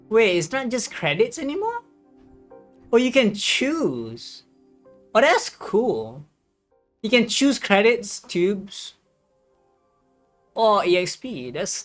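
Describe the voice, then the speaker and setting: A game streamer giving casual live commentary, thinking aloud in a hesitant, halting way. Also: medium pitch, sing-song, faint music behind.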